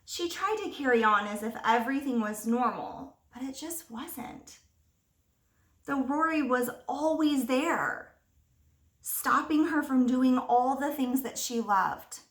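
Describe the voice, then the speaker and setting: A woman teacher reading a picture book aloud to young children, expressively, pausing to ask them simple questions. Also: sits high. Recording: roomy echo, distant microphone.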